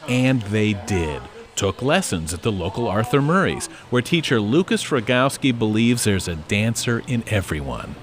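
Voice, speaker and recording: A man narrating a scripted TV news feature in a lively storytelling way. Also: on the low side, normal speed, hardly any pauses, slight background noise. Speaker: reporter, narrator. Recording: voice-over over music.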